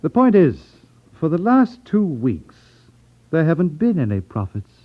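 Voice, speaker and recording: Suave elderly racket boss, tinny recording. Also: male voice, a little high, huge pitch swings, unhurried. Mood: brisk, businesslike. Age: older. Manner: over-polite, fussy, hesitant ums, condescending. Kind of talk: 1950s radio drama acting. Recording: tinny, narrow-band vintage radio recording.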